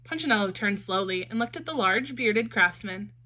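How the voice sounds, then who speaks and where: A woman reading a children's storybook aloud in an expressive, animated storytelling manner. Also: mid-range voice, moderate pace.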